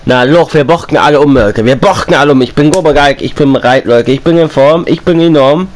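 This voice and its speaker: A man's voice: on the high side, fairly quick, a little hiss or hum. Chatty young man with a slight cold. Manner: chatty, repetitive, rhyming and chanting lines; excited outbursts while playing. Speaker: gaming YouTuber. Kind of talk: casual gameplay commentary.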